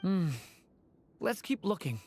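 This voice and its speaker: A woman voicing a gentle, wistful young male dragonborn in a video game, soft-spoken and earnest. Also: medium pitch, big pitch swings, slow, slight background noise. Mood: curious. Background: game music.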